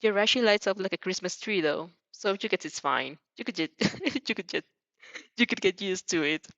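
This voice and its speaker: A woman's voice: mid-range voice, animated, moderate pace, fairly bright tone, dry recording, dull audio. A woman reading fan fiction expressively, tripping over words and reacting casually as she goes. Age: adult. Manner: stumbles over words and restarts, giggly. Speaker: fan fiction reader and dubber.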